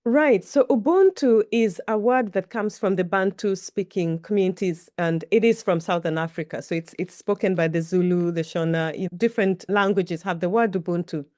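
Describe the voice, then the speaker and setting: A woman's voice: medium pitch, normal speed, hardly any pauses, a little mellow, no room sound, clean studio sound. A middle-aged Kenyan woman, a native Kikuyu speaker, answering interview questions in a thoughtful, storytelling way. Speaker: guest. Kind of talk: reflective interview talk.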